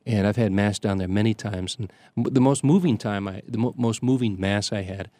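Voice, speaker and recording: An older man, a priest from the Chicago area, telling stories in casual conversation, halting often with fillers and restarts. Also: fairly low pitch, dark tone, no echo. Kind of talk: conversational storytelling.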